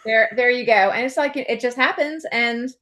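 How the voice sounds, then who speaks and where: A woman's voice: on the high side, brisk, sharp tone. A woman hosting a casual conversational podcast interview, chatty and agreeable, talking in loose asides. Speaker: podcast host and author.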